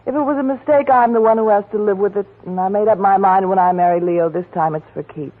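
A woman's voice: medium pitch, fast talker, phone-quality sound, natural voice. An actress playing a glamorous woman who pleads with her old flame, a detective, in a 1940s radio drama, with a coaxing, melodramatic delivery. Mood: pleading. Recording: scratchy 1940s radio broadcast.

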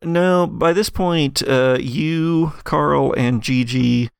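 A man's voice: fairly high pitch, drawn-out words, creaky, smooth, dry recording, clean studio sound. A man working as game master, narrating an actual-play tabletop game in a conversational, improvised way.

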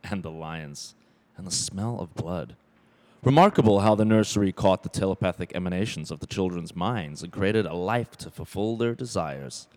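A man's voice: sits low, moderate pace, darkish tone, lightly acted. A man acting as the brooding narrator of an ominous radio play, in dramatic fragmentary phrases.

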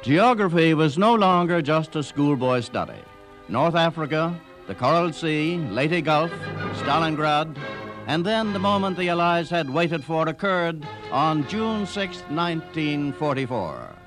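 A man's voice: fairly high pitch, lively intonation, a little slow, poor audio. A man narrating a scripted historical documentary in a dramatic, declarative way. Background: music.

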